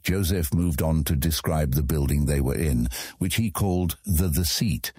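A man's voice: a bass voice, normal speed, a little mellow, breathy edge, dry recording, studio quality. A man reading scripted fantasy narration as an audiobook narrator.

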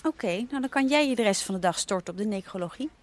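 A woman playing a brisk, bossy editor-in-chief in a radio comedy, curt and businesslike as she directs her staff. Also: medium pitch, lively intonation, close to an everyday voice. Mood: brisk, commanding.

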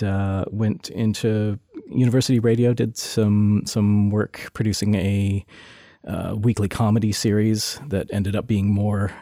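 A man being interviewed, talking casually and hesitantly with many pauses and restarts. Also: fairly low pitch, warm tone, dry recording, clean studio sound. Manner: hesitant, lots of uhs and restarts. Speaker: interview guest, sound designer.

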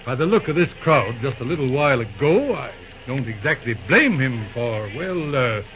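An actor playing a calm, wily small-town judge with courtly, deliberate politeness and a slightly stumbling delivery in an old radio western. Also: male voice, medium pitch, close to an everyday voice. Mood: calm, folksy. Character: folksy, wily, calm judge, a railroad town's peacemaker. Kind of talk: old-time radio western drama acting. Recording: muffled old radio show recording.

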